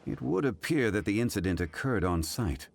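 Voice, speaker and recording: An actor playing a calm, dignified male sage in a game, speaking in measured, formal sentences. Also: on the low side, gravelly. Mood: composed. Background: game music.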